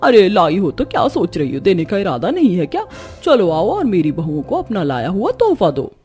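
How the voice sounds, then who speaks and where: A woman's voice: mid-range voice, wildly swooping pitch, normal speed, warm tone. A woman voicing a boastful, condescending middle-aged mother-in-law in a cartoon story, with music behind. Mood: taunting. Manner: condescending, taunting. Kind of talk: Hindi cartoon story voice acting.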